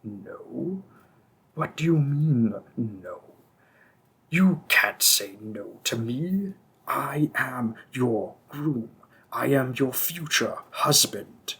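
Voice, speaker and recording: A man voicing a possessive, seductive male demon king in an audio roleplay, speaking softly and often in a whisper, slow and teasing. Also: mid-range voice, expressive, husky, full character voice. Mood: insistent.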